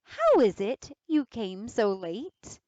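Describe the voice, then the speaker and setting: Storybook heroine: wary, clever eldest girl, voiced by a woman, high-pitched, politely crafty and questioning. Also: wildly swooping pitch, slow, mellow, no echo, muffled recording, heavily acted.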